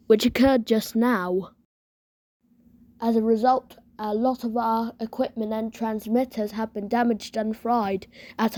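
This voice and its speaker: A woman's voice: fairly high pitch, warm tone. A woman continuity announcer reading a scripted emergency announcement in a formal manner.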